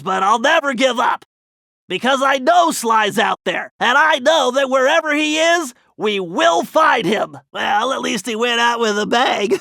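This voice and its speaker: A man's voice: extremely high pitch, creaky, pushed and bright, no echo, exaggerated voice. A man voicing a brainy, cautious turtle hacker in a cartoon video game, with a nasal voice and precise, wordy, slightly fussy delivery. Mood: somber narrating, then wry.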